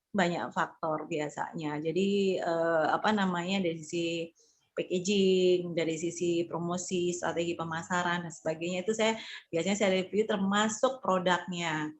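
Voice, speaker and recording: Woman, marketing consultant, casual meeting talk, halting delivery full of fillers, mid pitch. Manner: hesitant, many fillers and restarts; informal, conversational explaining. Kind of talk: casual group discussion.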